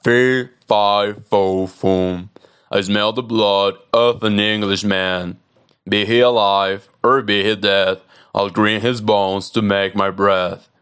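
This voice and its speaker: A man voicing a huge, fearsome giant who chants his threats slowly. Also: on the low side, steady tone, a bit bright, clear, resonant tone. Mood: menacing.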